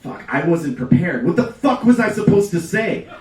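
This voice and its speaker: Man, live comedic story reading, theatrical emphasis, dramatic pauses, medium pitch, roomy echo, faint background noise. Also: sing-song. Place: indoor live storytelling event. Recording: echoey live room, distant mic, background noise.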